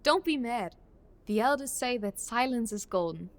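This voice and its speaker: An actress voicing a teasing, doting young girl-group singer in an audio drama, playful and coaxing with drawn-out words. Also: fairly high pitch, expressive, natural voice. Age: young adult.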